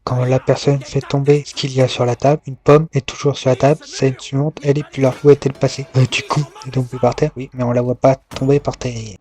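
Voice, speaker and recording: A man's voice: mid-range voice, little pitch movement, quick pace, few pauses, warm tone. A man doing voice-over commentary on film clips as a host, explaining things and putting questions to viewers. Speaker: host of a film goofs video series. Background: background music.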